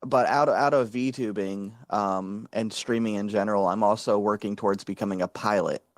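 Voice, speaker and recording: A man's voice: fairly low pitch, steady tone, normal speed, dry recording, studio quality. A man, a VTuber guest, chatting casually in an interview with lots of fillers and restarts. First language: English. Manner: rambling, many fillers and restarts.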